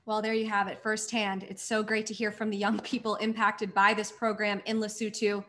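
A woman's voice: medium pitch, level tone, normal speed, pushed and bright. A woman hosting a virtual charity gala, thanking sponsors effusively while losing her voice. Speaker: gala host. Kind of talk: scripted event hosting.

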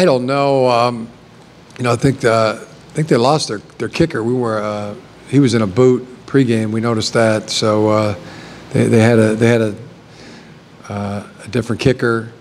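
Halting man coach at a press table. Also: medium pitch, a little hiss or hum. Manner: halting, many restarts and fillers. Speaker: head football coach. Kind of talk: postgame press conference answers. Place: press conference room with backdrop. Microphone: table mic.

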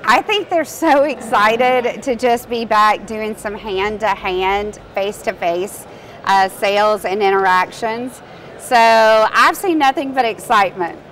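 A woman's voice: mid-range voice, unhurried, fairly bright tone. A woman who manages an expo, answering interview questions in an enthusiastic, promotional way on a convention floor. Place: convention hall floor, indoors. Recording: distant mic with faint hall noise.

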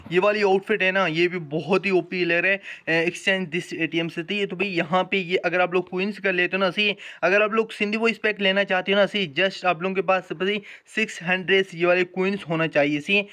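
Casting: man, high forceful voice, chatty game-event explainer with repeated filler tags. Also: steady tone, normal speed, hardly any pauses. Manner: chatty, repetitive verbal tags, addresses viewers affectionately. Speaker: gaming channel host. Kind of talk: casual game event walkthrough commentary.

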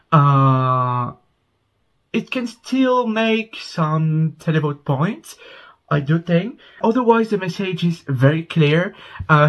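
A young man from France with a French accent, chatting earnestly to camera from his small bedroom about a song he is reacting to. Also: fairly high pitch. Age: young adult. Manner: chatty and earnest, gets loud and excited over the music. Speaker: music reaction host. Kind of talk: casual reaction chat to camera. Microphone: webcam at desk, wearing earbuds.

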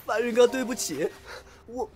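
A man's voice: extremely high pitch, wildly swooping pitch, moderate pace, gravelly, darkish tone, heavily acted. A man acting a naive, clingy, boyish young master in an amateur Chinese audio drama. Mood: tearful, apologetic.

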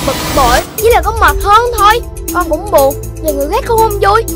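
A voice actor playing a small, excitable, sulky schoolchild animal in a children's cartoon, expressive and exclamatory. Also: very high-pitched, a little fast. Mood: upset, whiny. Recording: loud music behind.

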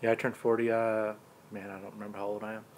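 A man in his forties with a somewhat low voice, talking fast and casually with friends. He keeps his replies brief and agrees often. Faint ambience is behind him. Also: little pitch movement, a little mellow, a little hiss or hum. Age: 40s. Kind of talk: casual chat among friends.

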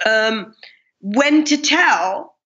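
A middle-aged woman speaks in a bright, slightly high voice, reflecting earnestly on her past. The audio is dull and lo-fi, as from a remote interview call. She talks in a calm, conversational way. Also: extremely slow. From Pakistan. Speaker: guest, kindness speaker.

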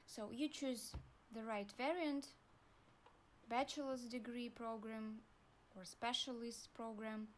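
A young woman with a Russian accent, calmly walking viewers through an online form in a tutorial. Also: on the high side, slight background noise. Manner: slow, deliberate, pauses while clicking. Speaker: university staff guide. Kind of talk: screen-recorded tutorial walkthrough.

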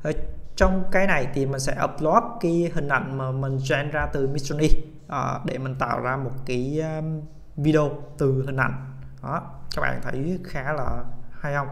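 A man hosting a casual software tutorial, speaking haltingly with many hesitation sounds and English tech terms mixed in. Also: mid-range voice, fairly even intonation, gravelly, a little hiss or hum. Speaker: tutorial host.

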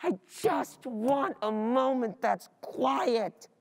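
A young woman playing an overwhelmed, easily distracted student in a theatrical stage monologue, speaking in a halting, confessional way. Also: sits high, expressive, heavily acted. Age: young adult. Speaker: stage actor.

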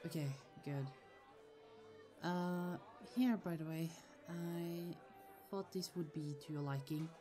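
Woman playing a low-pitched protective sorceress sister. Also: slow. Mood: reassuring, confiding. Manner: affectionate, chatty, firm when provoked. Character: protective, easily annoyed young sorceress older sister in fantasy world. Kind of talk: ASMR roleplay acting. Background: music.

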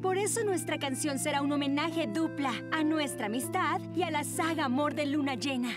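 Woman as a high-voiced, bossy teen girl leader. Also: normal speed, over loud music, close to an everyday voice. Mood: earnest, commanding. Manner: emphatic, organising. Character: earnest, bossy teenage girl, leader of fangirl friends. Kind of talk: animated cartoon dub acting.

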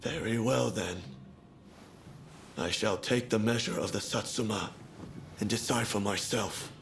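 Gravelly, proud samurai warrior. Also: male voice, lowish voice, unhurried, fairly bright tone, a little hiss or hum. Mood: indignant, reluctant. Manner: blunt, defiant, formal. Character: proud, hot-headed, loyal samurai warrior. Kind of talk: dramatic video game cutscene acting.

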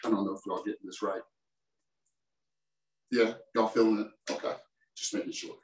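A man's voice: fairly low pitch, little pitch movement, moderate pace. Male chef, chatty and upbeat, home kitchen, distant mic, echoey room. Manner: chatty, enthusiastic, talks straight to viewers. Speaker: chef presenting a cooking demo. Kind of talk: casual cooking demonstration. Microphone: far camera mic.

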